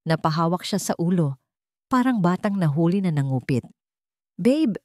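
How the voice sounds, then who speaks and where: A woman's voice: on the low side, expressive, a little fast, a little mellow, dry recording, studio quality. A woman narrating a scripted audiobook drama with dramatic delivery.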